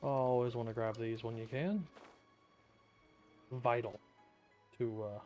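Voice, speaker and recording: A man's voice: medium pitch, fairly even intonation, slow, mellow, soft background music. A young man giving casual, joking and offhand commentary while streaming a video game.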